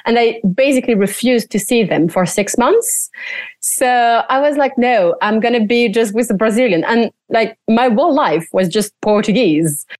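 Young French woman, animated interview guest, dry close sound, filler-heavy talk. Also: a little high, normal speed, no echo. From France. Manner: animated, frequent "like" fillers, laughs often. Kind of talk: casual interview chat.

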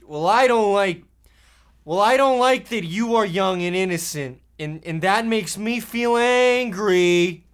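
A man playing a bossy, shifty older brother, a Depression-era boy, halting and mock-folksy in a comic radio play. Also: sits high, smooth. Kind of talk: amateur comic old-time radio acting.

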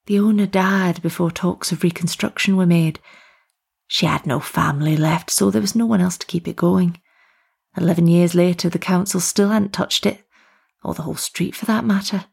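A woman voicing a kindly, eccentric village tea lady in storybook dialogue, chirpy, motherly and gently questioning. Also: on the low side, fairly even intonation, moderate pace, gravelly, husky. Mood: wistful.

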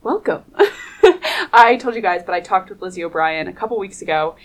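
A woman's voice: on the low side, a little fast, rough recording. A young woman hosting a student radio interview in a studio, upbeat and laughing often. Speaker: radio host.

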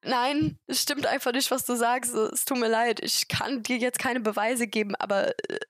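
A woman in her late teens from England, with English as her first language and a Hessian accent in her German, speaking as a relaxed, direct interview guest. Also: a little high, normal speed, no room sound, studio quality. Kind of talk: casual chat.

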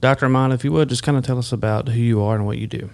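A man's voice: mid-range voice, fairly even intonation, very fast, a little mellow. A man hosting a studio health podcast, asking a guest friendly, upbeat questions. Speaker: podcast host. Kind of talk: studio interview.

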